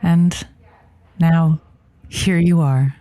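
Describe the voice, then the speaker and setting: A woman playing a gentle, bemused radio advice host in improvised comedy, asking careful questions with hesitant pauses. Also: low voice, steady tone, no room sound, exaggerated voice. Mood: bemused, tactful. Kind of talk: improvised comedy audio drama.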